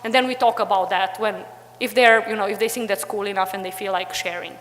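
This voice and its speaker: A woman's voice: mid-range voice, steady tone, a little fast, a bit bright, faint music behind. Young woman, talks loose and chatty with lots of "like", long reverb, conference room. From Romania, Transylvania. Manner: chatty, many fillers like "like" and "you know". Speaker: conference panelist, history teacher. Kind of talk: conference talk.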